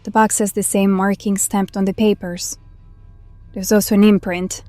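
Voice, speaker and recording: A woman's voice: medium pitch, level tone, normal speed, dark tone, dry recording, soft background music, natural voice. A woman acting in an audio drama as a questioning member of a band of survivors. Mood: puzzled, wary.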